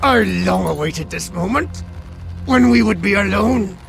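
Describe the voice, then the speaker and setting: Creaky-voiced traitorous engineer. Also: male voice, sits high, expressive, a little slow, over music, exaggerated voice. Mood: gloating menace. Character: traitorous male army engineer, menacing and fanatical. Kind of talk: fantasy game villain acting.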